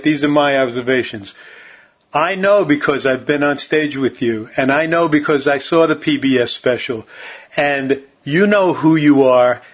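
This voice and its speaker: A man hosting a radio interview, talking in a friendly and encouraging way. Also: mid-range voice. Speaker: radio show host.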